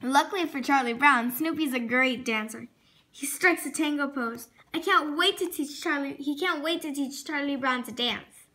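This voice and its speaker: A woman's voice: high-pitched, normal speed, sharp tone. A female reading a children's storybook aloud expressively, sometimes stumbling over words and correcting herself. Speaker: storybook reader.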